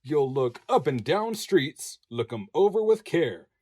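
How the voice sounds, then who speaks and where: Man, highish voice, very lively intonation, slow sing-song children's book narration. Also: husky. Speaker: voice-over narrator. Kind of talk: expressive picture-book reading in rhyme.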